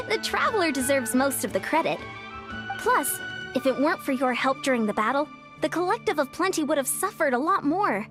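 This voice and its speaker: A woman's voice: high-pitched, big pitch swings, normal speed, over music. A woman voicing a small, cheerful, cheeky childlike fairy companion in a video game, gushing and exclaiming. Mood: appreciative.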